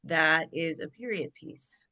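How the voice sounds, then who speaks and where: Bright, low-voiced woman chatting emphatically. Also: slow pace. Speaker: actress, special guest. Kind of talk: casual chat. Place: online video call.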